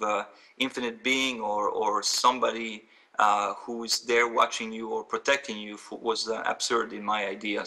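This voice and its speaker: Guest, man, 40s, sharp bright tone, heard from a distance. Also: on the low side. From France. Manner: earnest, fast, with many fillers. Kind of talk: storytelling interview answers.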